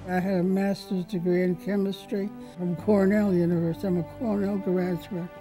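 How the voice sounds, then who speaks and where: A woman's voice: lowish voice, level tone, normal speed, warm tone, soft background music. An older woman telling her wartime memories in a plainspoken, blunt way during a sit-down interview at home. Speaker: interviewee, veteran. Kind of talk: documentary interview recollection. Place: living room with drapes.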